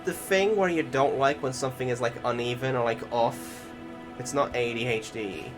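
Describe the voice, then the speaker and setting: A man's voice: medium pitch, moderate pace. A man giving casual, rambling and hesitant commentary over a video game, swearing now and then. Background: game music behind the voice.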